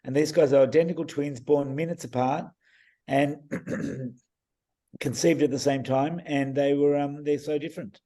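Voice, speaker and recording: A man on a video call explaining eye readings in a casual, enthusiastic way, his voice a bit croaky and full of restarts. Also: a little high, steady tone, moderate pace, dark tone. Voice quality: hesitant, many restarts and fillers. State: croaky voice, clearing throat. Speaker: iridologist guest reading eyes.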